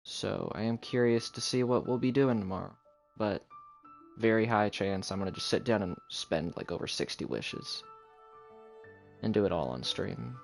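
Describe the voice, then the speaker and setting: A young adult man chatting casually on a live game stream in a rambling, halting, low-key way. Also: lively intonation, gravelly. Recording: game music behind voice. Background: video game music.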